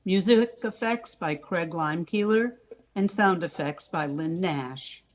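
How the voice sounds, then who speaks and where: An older woman serves as narrator of a radio play, reading from a script. Her voice sits a little low. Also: measured pace, heavy background noise.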